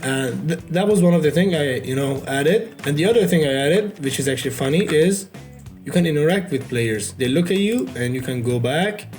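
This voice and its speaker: A man's voice: a little high, animated, normal speed, a little mellow, soft background music. A man whose first language is Farsi gives a loose, unedited walkthrough of his indie game. He keeps filling gaps with "you know". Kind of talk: casual unedited devlog walkthrough.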